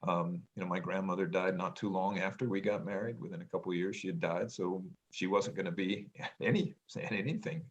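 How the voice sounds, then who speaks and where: A middle-aged man with a very deep, low voice talks through his thoughts in a relaxed family conversation. He is loud and reflective, and he often stops to restart a sentence or fill a gap with "um.". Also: brisk, few pauses, muffled recording. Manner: hesitant, many ums and restarts, reflective; says he has a loud voice. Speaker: podcast co-host, parent.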